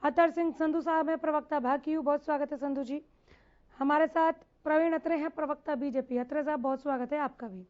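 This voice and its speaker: A woman's voice: sits high, steady tone, a little fast, a little mellow. A woman moderating a television news debate as its host, addressing guests and putting questions to them. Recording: slightly dull, lo-fi broadcast audio.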